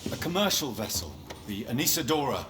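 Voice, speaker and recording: An actor playing a polite, persuasive company man who talks in a measured, quiet way. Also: mid-range voice, big pitch swings, heavy background noise, natural voice. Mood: calm. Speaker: company representative.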